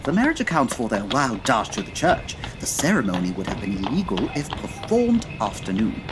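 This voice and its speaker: A woman playing a pompous, dryly impatient male Victorian detective with a husky voice and formal, precise diction, in a comedy audio drama. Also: extremely low pitch, very animated, fairly quick, over music. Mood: formal, brisk.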